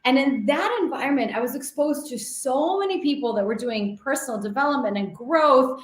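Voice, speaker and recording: A woman's voice: high voice, moderate pace, roomy sound. A woman in her thirties from Russia, a guest telling her life story in long flowing runs, with frequent laughs. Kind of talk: conversational storytelling.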